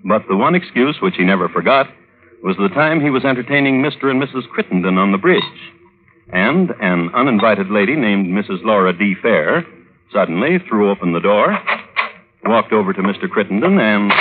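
An actor playing a dry, droll connoisseur of crime, hosting and narrating in ornate, wry, deliberate old radio style. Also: male voice, on the low side, big pitch swings, slight background noise. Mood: wry, measured. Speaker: host and narrator. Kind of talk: 1950s radio scripted narration. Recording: old narrowband radio recording with music.

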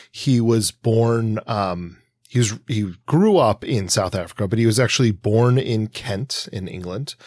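A man co-hosting a podcast, informally explaining history in a halting way with many ums and pauses. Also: fairly low pitch, dry recording, studio quality. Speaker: podcast co-host.

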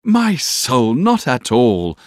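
Man playing an earnest, lovestruck young gentleman, animated pitch. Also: moderate pace, no echo, clean studio sound, close to an everyday voice. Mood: gentle pleading. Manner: tender, exhorting, rhetorical. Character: earnest, ardent young Victorian gentleman in love. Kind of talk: audiobook character voice.

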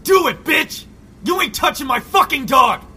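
A man's voice: very high voice, lively intonation, normal speed, pushed and bright, slight background noise, full character voice. An actor playing a defiant, foul-mouthed civilian survivor, a combative man in a zombie audio drama. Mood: furious. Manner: combative, profane.

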